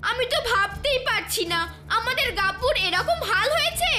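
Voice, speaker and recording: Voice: very high-pitched, animated, moderate pace. A performer voicing a worried, protective young friend in a children's cartoon, earnest and concerned. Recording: music behind.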